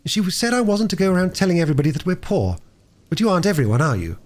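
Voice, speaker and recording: A man's voice: high-pitched, very animated, very fast, a little mellow, pitched above the performer's own voice. A man voicing an earnest, anxious young girl in a storybook, hesitant and pleading.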